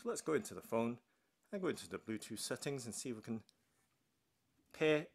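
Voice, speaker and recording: A man's voice: mid-range voice, very animated, fairly quick, gravelly. A man giving a casual, unscripted product demonstration as a reviewer, talking through each step as he does it. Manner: narrates own actions step by step. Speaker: tech unboxing reviewer. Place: indoors at a wooden table.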